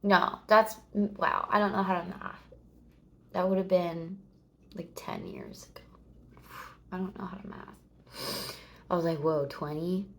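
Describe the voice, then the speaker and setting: A woman's voice: lowish voice, lively intonation, a little slow, vocal fry, long pauses, a bit bright. A woman in her thirties chatting casually to the camera, rambling and meandering, swearing now and then. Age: 30s. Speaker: vlogger.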